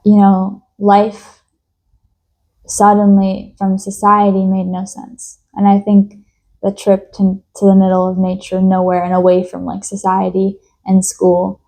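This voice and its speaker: Warm, hesitant young woman musing. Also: medium pitch, monotone, unhurried. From United States. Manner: thoughtful, hesitant, many fillers and restarts. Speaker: vlogger. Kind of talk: reflective personal monologue.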